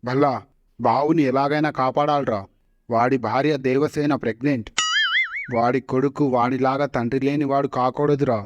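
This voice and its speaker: A man's voice: mid-range voice, steady tone, moderate pace, close to an everyday voice. Muffled man acting a comic royal cartoon schemer. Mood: scheming, aggrieved. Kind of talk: comic cartoon parody dialogue.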